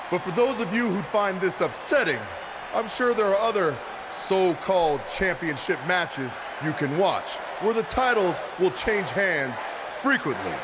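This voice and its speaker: An actor playing an arrogant, menacing wrestling champion who delivers boastful, deliberate threats in a video game promo. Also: male voice, sits high. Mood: smug. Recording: noisy arena with crowd behind.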